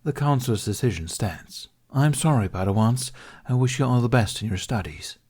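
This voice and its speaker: A man's voice: medium pitch, animated, moderate pace, darkish tone, slightly rough. A man voicing a weary, courteous older male master with a formal, hesitant manner in a narrated story. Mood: evasive, apologetic.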